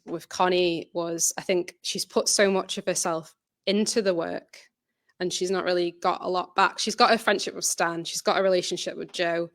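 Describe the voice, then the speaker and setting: A woman's voice: lowish voice, fairly bright tone, no echo. A woman speaking as a writer at an informal question session, giving hesitant, reflective answers full of qualifications. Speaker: audio drama writer and presenter. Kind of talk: informal presentation Q&A, conversational answers.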